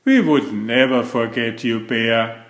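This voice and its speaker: A gentle mother bear from a picture book, played by a man. She explains things patiently and soothingly, taking her time. The room is echoey. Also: mid-range voice, takes it slowly, natural voice. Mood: patient, reassuring.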